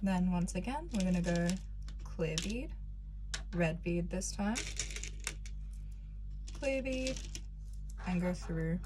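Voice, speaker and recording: A young woman recovering from a cold, calmly walking viewers through a beading craft step by step. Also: on the low side, slow, long pauses, background noise. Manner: calm, patient instructions, counting out beads. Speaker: craft tutorial maker.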